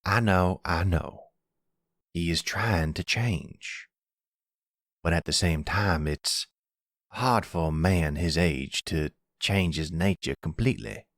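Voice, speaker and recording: A man voices an older father and mob boss in an intimate audio roleplay. The voice is very low and slow, earnest and gentle with a regretful, reassuring air. It sounds close and dry. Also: dry recording, exaggerated voice. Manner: measured, fatherly, earnest, soft-spoken. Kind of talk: intimate ASMR roleplay acting.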